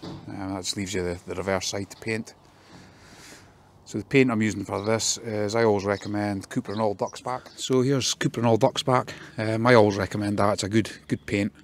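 Man, low monotone voice, tradesman narrating his own job vlog outdoors on a handheld phone, faint background ambience. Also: a little fast. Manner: plain, practical, with many ums. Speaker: fencing contractor. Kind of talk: casual work vlog walkthrough. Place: outdoors in a garden.

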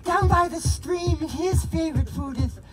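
A woman voicing a small, clever brown mouse who bluffs bigger animals, in a high, eager storybook voice. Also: moderate pace, over music. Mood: bluffing.

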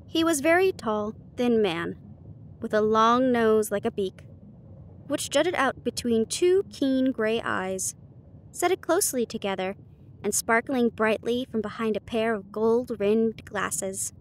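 A woman narrating a story aloud in a measured, careful reading. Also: fairly high pitch, expressive, a little hiss or hum.

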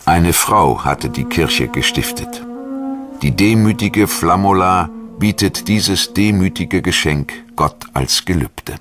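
A man's voice: deep voice, expressive, takes it slowly. A man reading scripted documentary narration as the narrator. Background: background music.

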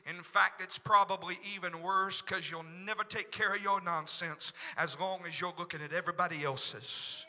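A middle-aged pastor with an Oklahoma accent preaching into a handheld microphone in a large church sanctuary, dropping to a hush and then rising to shouts. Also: male voice, high-pitched, fairly even intonation, normal speed, few pauses. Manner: swings from hushed to shouting. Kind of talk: preaching a sermon. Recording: long hall reverb in church.

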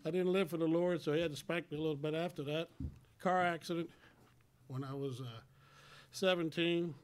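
A man in his sixties giving a personal testimony to a congregation in a plain, folksy, halting way, speaking into a stand microphone. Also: a little high, steady tone, clear, resonant tone. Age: 60s. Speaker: church member giving testimony. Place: church sanctuary at a lectern.

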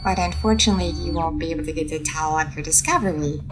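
A woman's voice: sits low, fast, music in the background, natural voice. A woman playing a friendly, curious alien starship captain in an audio roleplay, soothing and a little hesitant as she addresses the listener. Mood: gentle, playful.

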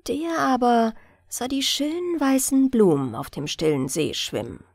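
A woman narrates a bedtime fairy tale as an audiobook reader. Her voice sits on the high side and moves with lively, varied intonation. She takes her time, telling the story expressively. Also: a little slow, vocal fry, no echo, studio quality.

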